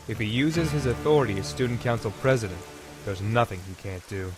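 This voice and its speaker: A man's voice: lowish voice, expressive, brisk, over music, lightly acted. A man voicing a smug, scheming teenage boy in an anime fan dub, wordy and theatrical. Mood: ominous. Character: scheming, smooth teenage boy angling for student council president.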